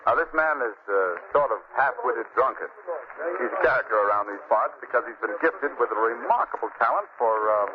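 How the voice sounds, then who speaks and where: A man's voice: mid-range voice, expressive, brisk, close to an everyday voice. A man acting as a jovial, amused local barfly in a 1940s radio drama. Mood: amused, explaining. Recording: muffled old radio recording, crowd behind.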